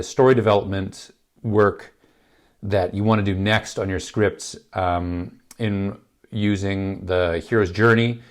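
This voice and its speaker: A middle-aged man, still a bit gravelly after being sick, hosting a casual filmmaking lesson in a big office, rambling with lots of fillers and restarts. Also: on the low side. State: slightly gravelly from illness. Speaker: filmmaking channel host. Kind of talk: casual talk to camera. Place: large indoor office space.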